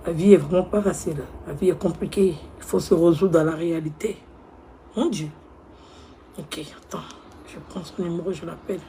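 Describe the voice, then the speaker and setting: A woman playing a remorseful young woman who begs her ex on the phone. She speaks softly and pleads, often close to a whisper. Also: lowish voice, moderate pace, a little hiss or hum, lightly acted. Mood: dejected. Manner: soft, hushed, pleading, often near a whisper. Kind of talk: comedy skit monologue.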